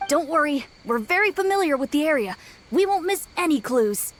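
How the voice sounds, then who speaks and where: A woman's voice: high voice, animated, moderate pace, slight background noise. A woman voicing an eager but nervous young female yokai in a video game dub, polite and hesitant. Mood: helpful. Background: game soundtrack music.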